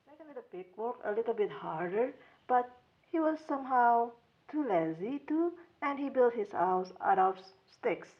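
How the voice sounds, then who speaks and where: Lady reading a kids fairy tale, high voice, kinda muffled lo-fi audio. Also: animated, moderate pace. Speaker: storyteller. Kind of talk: children's storybook narration. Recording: dull lo-fi sound.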